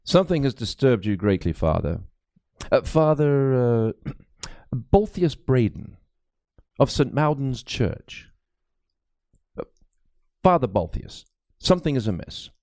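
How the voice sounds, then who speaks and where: A cool, skeptical medieval sleuth, played by a male audiobook reader. He is halting and businesslike, with a gravelly edge and a dark, mellow tone, recorded very dry. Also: mid-range voice, sing-song, no room sound. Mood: measured. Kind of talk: dramatic audiobook character acting.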